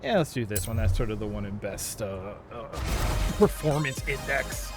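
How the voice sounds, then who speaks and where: A man's voice: on the low side, lively intonation, normal speed, over music. A man hosting a livestream in casual gaming chat, thinking aloud and reacting to play as he goes. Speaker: livestream host.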